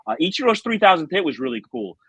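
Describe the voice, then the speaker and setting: A man's voice: a little high, very animated, fast talker, fairly bright tone, slightly rough, no room sound. A middle-aged American man, a former baseball radio announcer, telling stories conversationally as a podcast guest. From South Florida, United States. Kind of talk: conversational storytelling.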